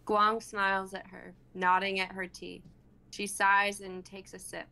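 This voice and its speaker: A woman's voice: medium pitch, flat delivery, a little slow, gravelly, pushed and bright, smooth. A woman in her twenties reading stage directions aloud as narrator in a dramatic script reading. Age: 20s. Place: home room on a group video call.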